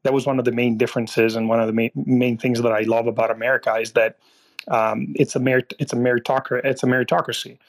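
A man from Italy calling in to a live audio room, talking in a halting way with many fillers and restarts. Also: mid-range voice, little pitch movement, vocal fry. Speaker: caller. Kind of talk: casual political discussion.

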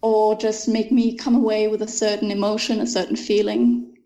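A woman's voice: medium pitch, little pitch movement, normal speed, dark tone. A young woman, an author answering interview questions thoughtfully and enthusiastically, with frequent ums.

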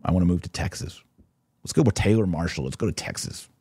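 Deep-voiced male podcast host, bright and dry. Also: animated, quick pace, breathy edge, dry recording. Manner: conversational, emphatic, acts out imagined exchanges. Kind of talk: casual religious commentary, answering listener questions.

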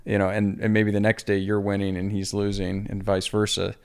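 Young adult male pro cyclist, podcast guest; low gravelly voice, easygoing and self-deprecating. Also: brisk. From US Pacific Northwest. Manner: relaxed, rambling, self-deprecating. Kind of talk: casual podcast conversation.

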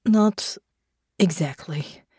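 A woman narrator playing a haunted foreign war veteran, a woman who speaks in halting, clipped phrases with tenderness underneath. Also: fairly low pitch, warm tone, husky, no room sound, studio quality, exaggerated voice. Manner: weary, halting, clipped phrasing with dropped articles. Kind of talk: audiobook character acting.

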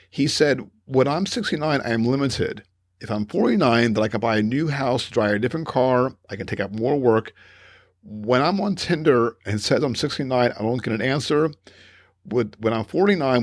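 A man's voice: mid-range voice, no room sound, studio quality. A man hosting a casual podcast, rambling with many fillers and self-corrections and laughing often as he talks. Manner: laughs often while telling stories. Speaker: podcast host.

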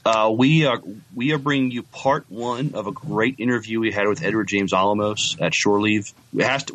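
A man's voice: on the low side, a bit bright, rough recording. A man chatting casually about science fiction as a podcast co-host.

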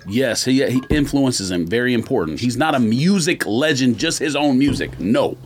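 A man's voice: medium pitch, lively intonation, moderate pace, faint music behind. A man in a casual podcast debate, argumentative and insistent, repeating his points for emphasis. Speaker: podcast co-host. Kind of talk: casual debate among friends. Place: small indoor podcast studio.

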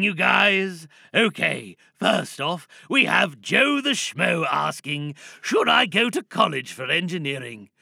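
A man's voice: high-pitched, gravelly, pushed and bright, no room sound, clean studio sound, full character voice. A man voicing a grandiose, self-important fake online oracle in a pompous, melodramatic livestream monologue.

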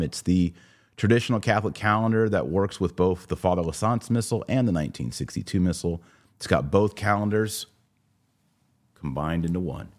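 A man's voice: low voice, a little mellow, no room sound. An American man in his forties hosting a podcast, chatting casually and speaking straight to his listeners as he answers comments. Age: 40s. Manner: conversational, addresses listeners directly. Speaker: podcast host.

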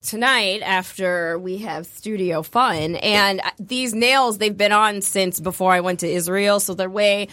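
A woman co-hosting a casual chatty show in a studio, bubbly and quick to laugh and joke. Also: medium pitch, bright, forceful tone, no room sound. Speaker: podcast co-host. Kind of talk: casual chat.

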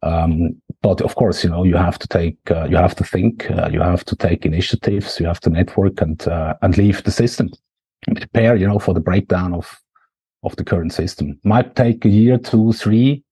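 A man with a Swiss accent talks in a low, dark, husky voice. He speaks haltingly as an interview guest, circling back through fillers and restarts. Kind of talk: conversational interview.

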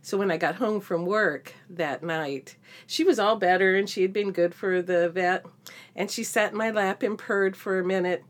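A woman's voice: medium pitch, moderate pace. A middle-aged woman co-hosting a podcast, chatting casually and telling anecdotes with wry, self-deprecating humor. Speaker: podcast co-host.

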